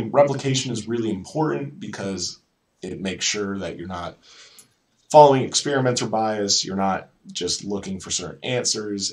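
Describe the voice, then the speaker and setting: A man's voice: sits low, distant mic. A man presenting an educational video, reading lesson notes in an informal way and often correcting himself with casual asides. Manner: informal, self-correcting, repeats words.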